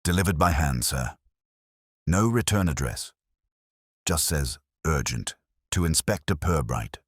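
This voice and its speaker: A man's voice: very deep voice, expressive, a little slow, slightly rough, no room sound, studio quality, heavily acted. Man voicing a grave, dutiful police constable.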